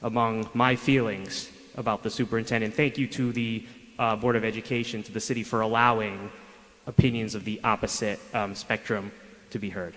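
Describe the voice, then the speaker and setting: A man's voice: lowish voice, little pitch movement, brisk, fairly bright tone. A man giving a pointed, combative public comment at a school board meeting, speaking into a podium microphone in a city meeting chamber. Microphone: podium microphone over public address. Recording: public address audio in a reverberant hall.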